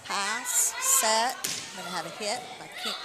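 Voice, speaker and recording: A woman from Texas with a Texan accent, calling high school volleyball play-by-play, naming players and scores. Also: wildly swooping pitch, a little slow. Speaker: play-by-play commentator. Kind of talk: live volleyball commentary. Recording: loud crowd and gym noise.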